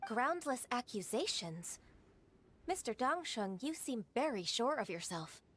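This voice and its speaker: A woman voicing a composed, formal female secretary in a video game, polite and measured, over game music. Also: a little high, big pitch swings, a bit bright, slight background noise.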